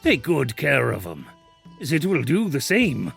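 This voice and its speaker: Gravelly, swooping dwarf blacksmith. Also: male voice, mid-range voice, wildly swooping pitch, a little slow, fairly bright tone, heavily acted. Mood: earnest. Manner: jovial, avuncular, theatrical. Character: hearty, kindly bearded dwarf blacksmith, thick-skinned. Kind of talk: fantasy audio drama acting. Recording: music under the voice.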